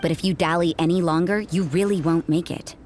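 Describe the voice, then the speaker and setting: A woman's voice: lowish voice, fairly even intonation, a little fast, darkish tone, slight background noise, natural voice. A woman playing a cynical, aloof nun in a video game, speaking in a dry, sardonic, teasing manner. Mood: dismissive. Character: cynical, aloof adult nun with a vigilant streak. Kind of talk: video game character dialogue.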